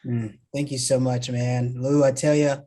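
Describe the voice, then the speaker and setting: A man in his thirties from Nigeria, a podcast guest telling his life story in a relaxed, friendly way. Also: mid-range voice, normal speed. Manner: relaxed and friendly, laughs easily. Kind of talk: casual storytelling.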